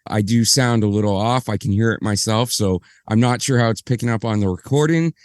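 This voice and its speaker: Man, slightly hoarse, rambling podcast co-host, smooth tone, dry close sound. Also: fairly low pitch, fairly quick, no room sound. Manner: rambling, apologetic, self-correcting. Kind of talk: casual podcast chat.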